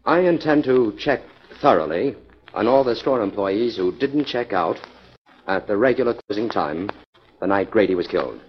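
An actor playing a calm, confident crime investigator in a 1940s radio drama, speaking in a measured, authoritative way. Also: male voice, fairly low pitch, close to an everyday voice. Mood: businesslike. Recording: muffled, noisy 1940s radio recording.

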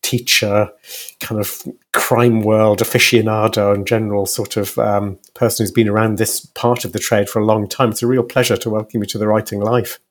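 A man's voice: on the low side, little pitch movement, normal speed. A man hosting a conversational podcast interview, framing his questions in a loose, hesitant way with repeated words.

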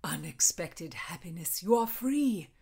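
Woman voicing a tender, lowered-voice Tudor gentleman lover. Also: animated, a little slow, vocal fry, breathy edge, heavily acted. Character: tender, ardent Tudor gentleman in love, sweet-spoken. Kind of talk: storybook character reading.

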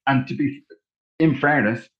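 A man's voice: medium pitch, unhurried, dull audio. A man co-hosting a podcast in casual chat, reflective and agreeable, with long rambling sentences. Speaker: podcast co-host.

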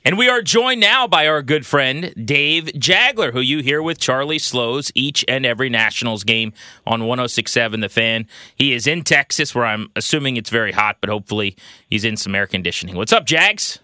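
A man's voice: mid-range voice, expressive, moderate pace, pushed and bright, dry recording, clean studio sound. A man hosting a sports show with casual interview chat.

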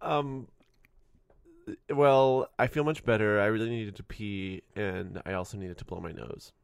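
A man's voice: lowish voice, fairly even intonation, unhurried, gravelly, smooth, no echo. Stuffy nasal man, snappy banter. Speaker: podcast co-host. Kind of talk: comedic banter.

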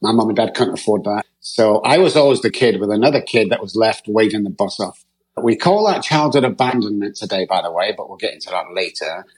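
A middle-aged man from Manchester speaks with a husky, slightly low voice. He tells his story as a podcast guest, open and earnest, drifting from one memory to the next. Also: animated, a little fast, low-quality recording. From England.

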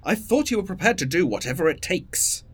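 Husky, very animated, controlling leader acted out. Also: medium pitch, brisk. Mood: angry, insistent. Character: stressed, controlling, pragmatic leader of a secret plan. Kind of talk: audio drama dialogue.

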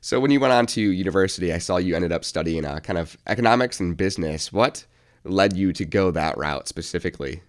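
A man's voice: sits low, animated, fairly quick. A man hosting a podcast, reading a scripted intro and then asking interview questions with short affirmations.

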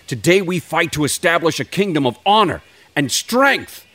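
A man's voice: a little high, sing-song, moderate pace, pushed and bright, voice pushed up, full character voice. A man voicing an army general who gives a declamatory rallying speech to his troops. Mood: rousing. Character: rousing male army general.

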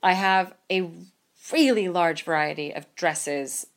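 A woman's voice: fairly low pitch, slow. A woman in her thirties co-hosting a casual chat, with a wry, self-mocking humor. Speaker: podcast co-host.

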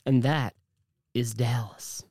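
A man with a naturally deep voice, co-hosting a casual podcast chat, jokey and crude with lots of halting fillers. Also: steady tone, a little mellow, no room sound, clean studio sound. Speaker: podcast co-host.

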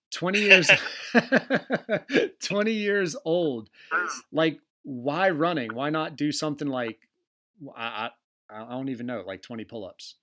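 A man's voice: mid-range voice, sharp tone. A man hosting a podcast over a video call, laid-back and casual, swearing and laughing easily. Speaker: podcast host. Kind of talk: casual interview chat. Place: home in Delaware.

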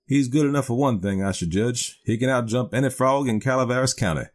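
A man's voice: mid-range voice, fairly quick, pitched above the performer's own voice, natural voice. A man voicing a boastful, easygoing frontier gambler in a storybook tale, with careless, colloquial talk. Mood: careless boasting.

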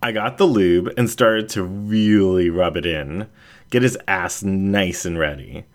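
A man voicing a playful adult female animal character in an audiobook, with an animated, confiding storytelling manner. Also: deep voice, measured pace. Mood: excited, teasing. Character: playful adult female anthropomorphic animal sharing personal anecdotes.

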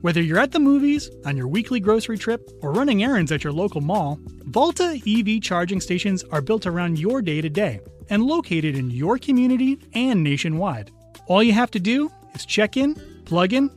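Guy doing an ad read, high voice, super lively, faint music under it. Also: fairly quick. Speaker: advertisement announcer. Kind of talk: scripted commercial narration.

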